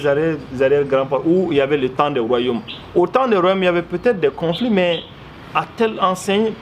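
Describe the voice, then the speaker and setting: Malian guy explaining stuff in an interview, voice a bit high, earnest and emphatic, some noise behind him. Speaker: interviewee, commentator. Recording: clearly noisy background ambience.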